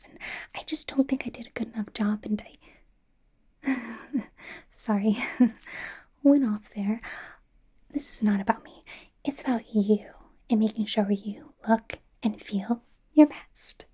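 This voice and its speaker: A woman playing a bubbly young female pop idol acting as a personal stylist in a softly whispered, informal, rambling ASMR roleplay. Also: fairly high pitch, full character voice. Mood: eager explaining. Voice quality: soft, breathy whisper. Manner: hesitant, rambling, informal, earnest.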